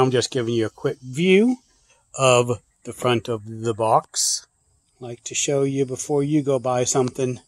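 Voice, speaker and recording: A man's voice: mid-range voice, no echo. A man hosting a prepper channel, talking casually off camera as he unboxes gear on a porch and thinks aloud with loose restarts. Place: porch and yard, outdoors.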